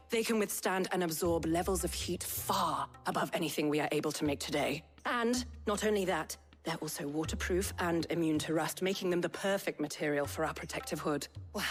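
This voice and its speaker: A woman plays an earnest scholar engineer in a fantasy game. Her voice is slightly low, and she is formal, precise and long-winded, a touch awkward in her politeness. Faint music sits behind her. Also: lightly acted. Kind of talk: video game voice acting.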